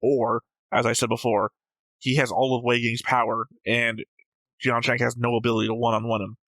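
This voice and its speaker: Bright-voiced man debating casually, dry close sound. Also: medium pitch, little pitch movement, normal speed, no echo, low-quality recording. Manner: argumentative, analytical, swears casually. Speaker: host of an anime reaction show. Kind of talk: casual debate about a show.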